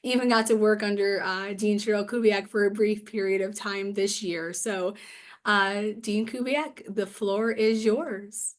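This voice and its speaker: Young woman, raspy cold voice, upbeat, chatty host, video call. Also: medium pitch, fairly even intonation, unhurried. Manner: upbeat, chatty with many fillers. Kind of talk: online forum introduction.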